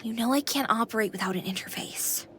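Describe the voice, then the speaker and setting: A woman voicing a playful, caring young female AI companion with an expressive manner in a video game. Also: on the high side, brisk, very noisy, natural voice. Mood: gentle, consoling. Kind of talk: video game character acting.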